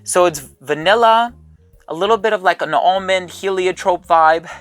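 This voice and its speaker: A man's voice: a little high, fairly bright tone. Chatty man reviewing perfumes. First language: English. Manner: chatty, thinks aloud while smelling. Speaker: fragrance reviewer. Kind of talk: casual sponsored haul video.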